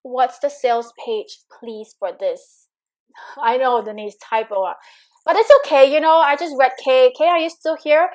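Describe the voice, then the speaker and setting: A woman with a Singaporean accent runs a live coaching webinar in a somewhat high voice. She talks in a chatty, encouraging way, full of fillers, and greets viewers by reading their comments aloud. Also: steady tone, moderate pace, darkish tone. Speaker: money coach.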